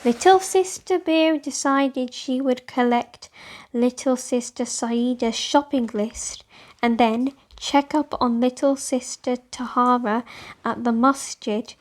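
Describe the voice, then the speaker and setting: Woman, high voice, unhurried pace, children's storyteller, scripted narration.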